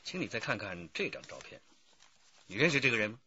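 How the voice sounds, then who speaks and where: An actor playing a polite, probing male police detective in a radio drama, with measured, courteous questioning. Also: mid-range voice, sing-song, sharp tone, lo-fi sound, a little hiss or hum.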